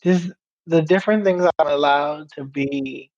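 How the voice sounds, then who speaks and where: Young male guest from Guyana; pitched a bit high, laid-back run-on delivery, muffled mic. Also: unhurried, creaky. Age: young adult. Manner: relaxed, laughs easily, talks in loose run-on phrases. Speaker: podcast guest. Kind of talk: casual interview chat. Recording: somewhat dull, muffled sound.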